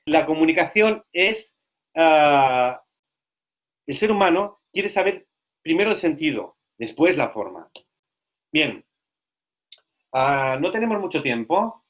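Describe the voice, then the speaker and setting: A man giving a lecture to language teachers, lively and often checking that listeners follow. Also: medium pitch, lo-fi sound. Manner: lively, asks frequent check questions.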